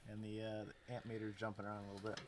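Matter-of-fact man over loud outdoor noise. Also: on the low side, completely flat delivery, rapid-fire. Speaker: co-host mechanic. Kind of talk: casual chat while repairing a tractor. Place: outdoors in a yard.

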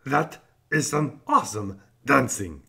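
A man voicing a friendly cartoon furry monster who hosts for children, encouraging, with a gravelly, husky voice. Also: mid-range voice, expressive, bright, forceful tone, exaggerated voice. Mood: upbeat, praising. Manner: slow, encouraging.

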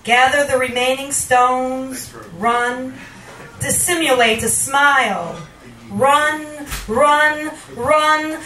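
A middle-aged woman reciting poetry live into a handheld microphone in a small bar, with dramatic, deliberate phrasing and pauses that sometimes turns sing-song. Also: sits high, fairly even intonation, bright, forceful tone, distant mic, soft background music. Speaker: featured poet at a reading. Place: small wood-paneled bar venue, indoors. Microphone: handheld microphone, filmed from across the room. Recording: roomy live recording from afar, background noise.

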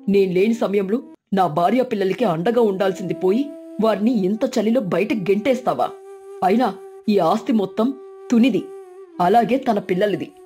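A firm, protective father sparrow, played by a woman, with a mellow, dark tone. He is an earnest cartoon family man, with music behind. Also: medium pitch, lightly acted. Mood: reproachful.

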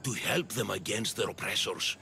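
An actor playing a guarded, shrewd spymaster in a video game, measured and wary in manner. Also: male voice, on the low side, gravelly, bright, forceful tone, a little hiss or hum, heavily acted. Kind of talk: video game dialogue acting.

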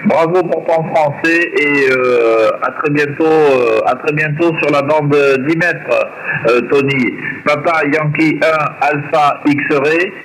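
A man's voice: a little high, slow pace. Over a shortwave radio, a man gives a contact report, repeating each detail. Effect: shortwave radio transmission. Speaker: amateur radio operator. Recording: noisy shortwave radio reception over speaker.

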